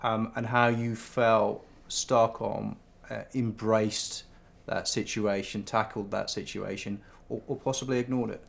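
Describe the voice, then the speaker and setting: A man's voice: lowish voice, little pitch movement, unhurried, creaky. A man interviewing a guest, asking long probing questions with hesitant restarts and fillers. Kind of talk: interview conversation.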